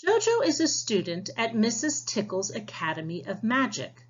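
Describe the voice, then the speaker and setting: A woman's voice: on the high side, huge pitch swings, moderate pace, breathy edge, highs cut off. A woman teacher giving a read-aloud science lesson, addressing children directly with instructions and prompting questions. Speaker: teacher reading a picture book aloud to young pupils.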